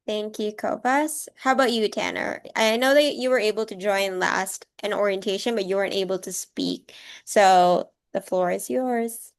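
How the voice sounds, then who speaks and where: A woman hosts a video call and walks a group through introductions in a relaxed, friendly way. Her voice is smooth and clean, in a middle pitch. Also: normal speed. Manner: casual, friendly, leading introductions. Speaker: program coordinator. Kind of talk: online group meeting.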